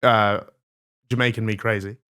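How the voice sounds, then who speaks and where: A young man hosting a comedy podcast, deadpan with frequent giggles. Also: fairly low pitch, normal speed, clean tone. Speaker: host. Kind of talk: casual comedy podcast chat.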